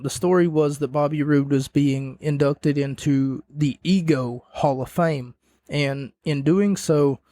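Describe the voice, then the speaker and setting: A male podcast host talks through match recaps at an unhurried, drawn-out pace, wandering off on sarcastic tangents and correcting himself. His voice sits a little high for a man and is starting to go hoarse. The sound is dry and close, with no room echo. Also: dark tone. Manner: rambling, sarcastic asides, self-correcting. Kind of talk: casual solo podcast recap.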